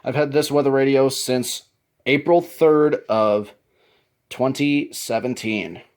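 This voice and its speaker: A man's voice: mid-range voice, slow, faint music behind. Man casually showing off his collection. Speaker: hobbyist presenting a radio collection. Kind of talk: casual show-and-tell narration.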